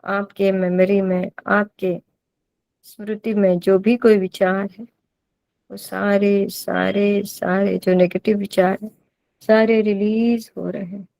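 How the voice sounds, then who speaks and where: A woman with an Indian accent leads a guided meditation as a teacher, giving deliberate instructions and repeating phrases for emphasis. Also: mid-range voice, little pitch movement, creaky, warm tone, dry recording.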